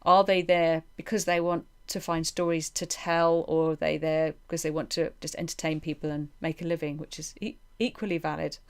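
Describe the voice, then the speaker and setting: Smooth, low-voiced woman interviewer. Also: little pitch movement, fairly quick, hardly any pauses. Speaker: interviewer, podcast host. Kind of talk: reflective interview conversation.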